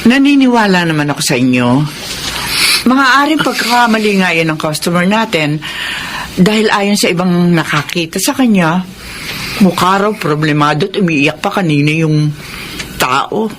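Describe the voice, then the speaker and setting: A woman's voice: on the low side, moderate pace, close to an everyday voice. Woman acting a kind restaurant boss, noisy background. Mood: reassuring. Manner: calm, reassuring. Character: kind, fair-minded female restaurant boss. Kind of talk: Filipino radio drama acting.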